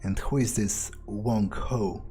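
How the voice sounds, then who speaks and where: A man's voice: on the low side, fairly even intonation. A man roleplaying a guarded, blunt, sardonic vampire in a video game, with a wry, hesitant, thinking-aloud delivery. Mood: sardonic, probing. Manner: wry, unhurried, hesitant musing, casual swearing. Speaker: let's player. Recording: faint music behind the voice. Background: faint game music.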